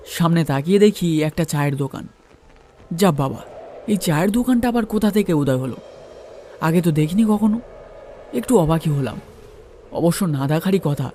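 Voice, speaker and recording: A man's voice: fairly high pitch, mellow, slight background noise. A man giving a dramatised first-person narration of a ghost story.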